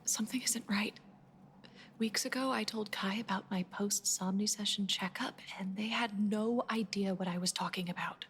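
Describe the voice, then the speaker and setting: A woman's voice: medium pitch, steady tone, moderate pace, a bit bright, slightly rough. A woman playing a defiant, worried patient in a horror audio drama, urgent and insistent. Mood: urgent, pleading. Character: defiant, worried female patient investigating her friend's disappearance.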